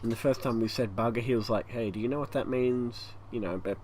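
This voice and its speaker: Hoarse Australian man, fan humming. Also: mid-range voice, darkish tone. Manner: rambling, breathy, pauses often for breath. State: croaky, hoarse voice from allergies. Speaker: YouTube gaming channel host. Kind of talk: casual channel update monologue. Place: hot home room with a fan running. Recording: faint fan noise behind.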